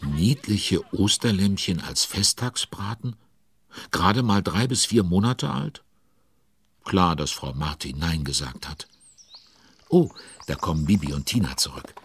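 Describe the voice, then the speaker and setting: A man playing a chatty storyteller in a children's audio drama, with an expressive, conversational manner. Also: low-pitched, a little slow, breathy edge, full character voice. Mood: engaged storytelling. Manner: conversational, expressive, asking questions. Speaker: narrator.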